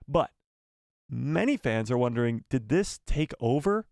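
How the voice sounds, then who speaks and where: A man's voice: mid-range voice, big pitch swings, measured pace, creaky, darkish tone, no echo, studio quality. A man hosting a live fan news stream, reading news and adding rambling, self-correcting asides to his viewers. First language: not Spanish. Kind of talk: casual live news chat.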